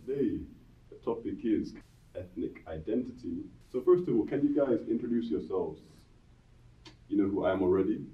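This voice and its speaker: Male host, low and lively, steady probing questions, distant roomy dull sound. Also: moderate pace. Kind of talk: interview questions.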